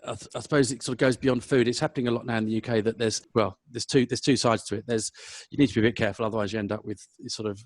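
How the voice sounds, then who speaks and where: A man's voice: mid-range voice, steady tone, rapid-fire, hardly any pauses, dry recording. A man with a London English accent giving rambling, self-correcting conversational answers as an interview guest. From South London, England. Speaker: podcast guest, food business professional.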